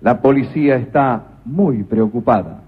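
A man narrating a radio comedy drama in a grave, deadpan way. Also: medium pitch, unhurried, slightly rough. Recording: muffled radio broadcast with music.

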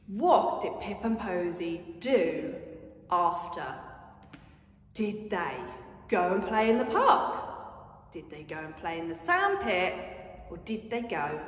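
A young woman who reads and talks to small children as an early years educator, with expressive, drawn-out emphasis. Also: mid-range voice, slight background noise. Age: young adult. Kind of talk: children's story reading and quiz. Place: carpeted nursery classroom, indoors. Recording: echoey room with long reverb.

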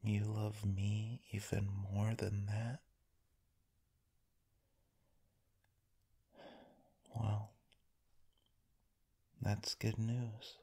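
A man playing a sleepy, tender, devoted boyfriend in an ASMR roleplay, murmuring intimately in a breathy, often whispered voice. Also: lowish voice, monotone, mellow, heavily acted. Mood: pleased. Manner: slow, drowsy, intimate murmuring with long pauses. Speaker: roleplay voice performer.

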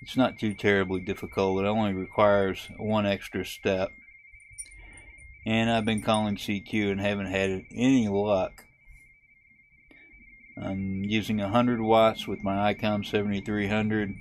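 A man hosting a ham radio tutorial, talking through a software setup in a relaxed, halting way with frequent fillers. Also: lowish voice, slight background noise. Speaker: ham radio video host. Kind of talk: casual screen-recorded tutorial. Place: camper.

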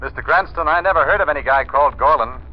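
An actor playing a brisk, casual newspaperman in 1930s radio acting. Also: a little high, close to an everyday voice. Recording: muffled 1930s radio recording with music.

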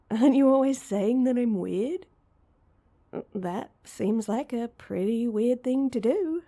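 A woman's voice: a little high, slow, dark tone. A woman playing an awkward, heartbroken young woman in an intimate roleplay audio drama, speaking hesitantly and with self-deprecation. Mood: flustered, awkward.

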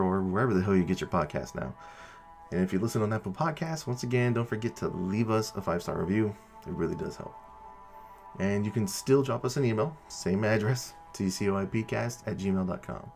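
A man's voice: fairly low pitch, fairly quick, creaky, a little mellow. A man hosting a podcast, giving an informal announcement to listeners in casual language with some mild cursing. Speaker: podcast creator and host. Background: background music.

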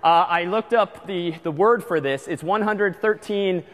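A man's voice: sits high, unhurried, a bit bright. A man lecturing in a large hall, giving chatty announcements with frequent ums and uhs. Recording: long hall reverb.

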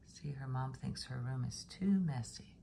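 A woman's voice: rumbling low, measured pace, vocal fry, dark tone, slight background noise. A woman storyteller reading a picture book to young children in rhythmic rhyme, with chatty questioning asides. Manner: rhythmic rhyming delivery, engaging listeners with questions.

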